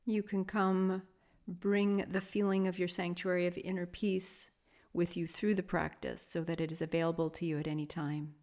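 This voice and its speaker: A woman with a somewhat low voice leads a guided meditation as a yoga teacher. Her delivery is soft and soothing. She speaks in measured phrases and leaves long pauses between them. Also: level tone.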